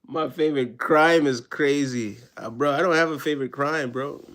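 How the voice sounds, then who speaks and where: A man's voice: a little high, normal speed, a bit bright. A man touched by talk, chatting casually on a live stream in a slangy way, often checking that listeners follow. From Jamaica. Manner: slangy, repeats check-in tag phrases.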